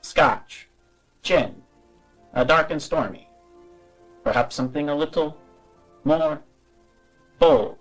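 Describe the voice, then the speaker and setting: A man's voice: fairly high pitch, slow pace, long pauses, husky, faint music behind, voice pushed up, rough recording. A man voicing a small, polite drink-serving robot in a science fantasy game, clipped and courteous. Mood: polite, inviting.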